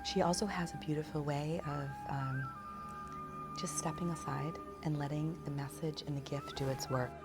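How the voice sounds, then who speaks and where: A woman giving a reflective personal testimonial in measured, articulate phrases with pauses. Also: low-pitched, fairly even intonation, over loud music.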